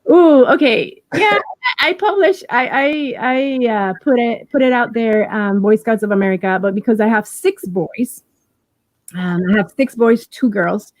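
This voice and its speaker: A woman in her forties with a Puerto Rican accent, talking warmly and encouragingly as an interview guest, often restarting her sentences. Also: a little high, a little slow, dry recording. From Puerto Rico. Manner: warm, encouraging, with many restarts. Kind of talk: casual interview.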